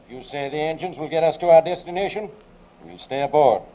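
A man's voice: a little high, fairly quick, dark tone, highs cut off, natural voice. A man playing a stern naval commander in a radio war drama, solemn and commanding as he addresses his men. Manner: commanding, solemn, projected delivery. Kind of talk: old-time radio war drama acting.

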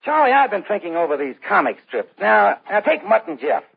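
A tinny vintage radio recording of an eager entertainer. His high, husky voice swings up and down earnestly, with a creaky edge. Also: sing-song, fairly quick, exaggerated voice. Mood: thoughtful. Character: eager, inventive radio singer turned comedian. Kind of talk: old-time radio drama acting.

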